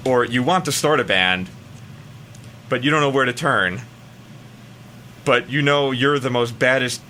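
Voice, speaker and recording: A man's voice: mid-range voice, pushed and bright, slight background noise. An American man chatting casually in a rambling, hesitant way, often trailing off before he finishes. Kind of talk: casual banter.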